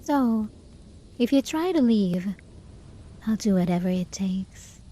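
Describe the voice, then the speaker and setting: Casting: actress as possessive hermit enchantress for ASMR roleplay; mellow, intimate, whisper-prone, desperate and lovesick, faint noise behind. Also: female voice, mid-range voice, animated. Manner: soft, slow, intimate, often dropping into whispers. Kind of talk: ASMR roleplay, scripted dramatic monologue.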